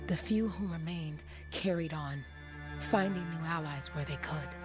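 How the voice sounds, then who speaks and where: A woman's voice: deep voice, a little slow, over music. A woman voicing a solemn, grave storyteller, narrating a video game. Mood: solemn, mournful. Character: solemn, grave female storyteller of fallen heroes.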